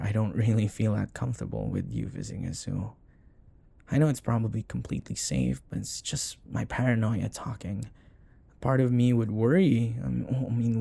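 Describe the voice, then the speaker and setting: Male actor as wealthy mature boyfriend for romantic roleplay: husky, mellow, softly coaxing, obsessively devoted. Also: on the low side. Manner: soft, hesitant, coaxing murmur with sighs. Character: rich older possessive boyfriend, sweetly doting, obsessive, apologetic. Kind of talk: intimate romantic audio roleplay.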